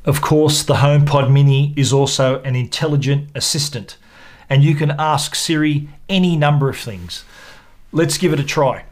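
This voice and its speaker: A man presenting a product review in a measured, explanatory way. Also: on the high side. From Australia. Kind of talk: product review narration to camera.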